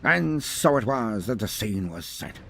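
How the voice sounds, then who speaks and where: A man's voice: on the low side, big pitch swings, a little slow, vocal fry, pushed and bright, faint music behind. A man reading scripted fantasy storytelling in a theatrical, grandiloquent manner with wry asides. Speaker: lore video narrator. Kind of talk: dramatic scripted story narration.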